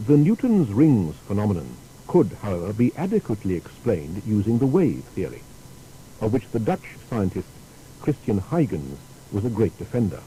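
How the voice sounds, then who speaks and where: A man narrating a scripted biographical documentary in a measured, explanatory way. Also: lowish voice, wildly swooping pitch, warm tone, a little hiss or hum, low-quality recording.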